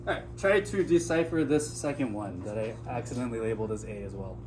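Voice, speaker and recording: A man's voice: mid-range voice, quick pace, darkish tone. A man teaching a math class, patiently explaining and asking his students questions, sounding a little out of breath. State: slightly out of breath. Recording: distant mic, noisy classroom.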